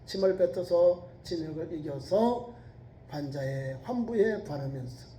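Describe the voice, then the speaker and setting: A man's voice: on the high side, lively intonation, measured pace, slight background noise. Middle-aged man preaching with regional accent. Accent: Korean regional dialect. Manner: emphatic preaching with rhetorical questions, rising to shouts on quoted scripture. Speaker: pastor. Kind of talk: sermon. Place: church sanctuary. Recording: echoey church sanctuary.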